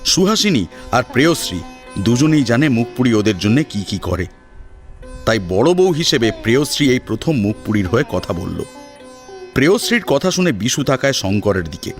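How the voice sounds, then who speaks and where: A man's voice: medium pitch, very animated, moderate pace, faint music behind. A man narrating a scripted story in a dramatic storytelling style.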